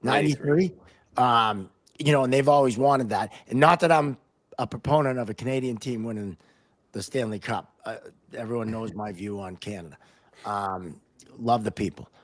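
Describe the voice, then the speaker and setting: Mid-pitched man hosting hockey chat. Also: a little fast. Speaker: podcast host. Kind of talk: casual sports chat.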